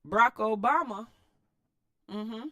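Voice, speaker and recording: An American woman reading a book aloud and adding informal, slangy commentary in an expressive manner. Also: a little high, takes it slowly, no echo, studio quality. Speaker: host of a read-aloud series. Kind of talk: book read-aloud with casual running commentary.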